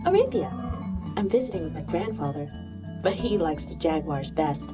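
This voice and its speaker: A woman voicing a kind, cheerful young girl who speaks warmly and comfortingly. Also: deep voice, expressive, normal speed, voice pushed down. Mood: friendly. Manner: bright and comforting. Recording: distant mic with soft music.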